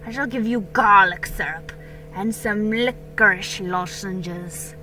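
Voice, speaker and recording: A woman's voice: mid-range voice, slow, pushed and bright, soft background music. A woman voicing a concerned male colonial apothecary, formal, earnest and admonishing, in a storybook reading. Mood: concerned, stern.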